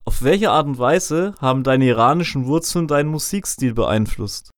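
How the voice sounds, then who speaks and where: A man conducting an interview, asking formal questions in a polite, measured way. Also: medium pitch, animated, clear, resonant tone, dry recording, clean studio sound.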